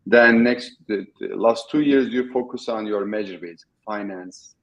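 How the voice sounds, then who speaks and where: A middle-aged man with a Turkish accent, a guest in an interview, talking in a halting way with many restarts. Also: fairly low pitch, muffled recording. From Turkey. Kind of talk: casual interview talk.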